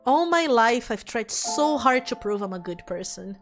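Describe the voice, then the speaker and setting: A woman's voice: fairly high pitch, expressive, moderate pace, music in the background. A woman voicing a bitter, despairing young woman from a visual novel who insists she is good. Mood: defensive, aggrieved.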